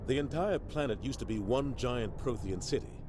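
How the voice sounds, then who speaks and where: An actor playing a bitter veteran soldier captain, speaking in a measured, grim tone. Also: male voice, medium pitch, lightly acted. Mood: tense. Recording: game cutscene with ambient noise.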